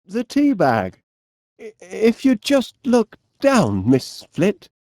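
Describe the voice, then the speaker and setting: An actor playing a polite, bumbling, enthusiastic inventor with a Northern English accent in an animated video game, hesitant and fussily courteous with quaint turns of phrase. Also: male voice, high-pitched, slow pace, dry recording, studio quality, exaggerated voice. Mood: flustered, awkward.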